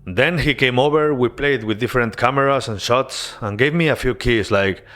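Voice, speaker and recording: Guy talking thru his devlog, mid voice, chill and earnest, quiet music under it. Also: mid-range voice, moderate pace. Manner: earnest, relaxed storytelling with casual asides. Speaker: indie game developer. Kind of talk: narrated devlog voice-over.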